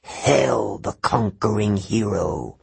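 A man voicing a menacing ancient male monster with a cold, slow, commanding manner in an audiobook. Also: on the low side, expressive, vocal fry, a little mellow, slightly rough, dry recording, exaggerated voice. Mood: mocking. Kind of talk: audiobook character voice.

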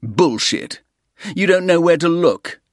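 A man's voice: mid-range voice, sing-song, moderate pace, dry recording, studio quality, voice pushed up. A man voicing a cornered, defiant businessman who snaps back under pressure. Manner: tense, raised, snapping. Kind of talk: audiobook character reading.

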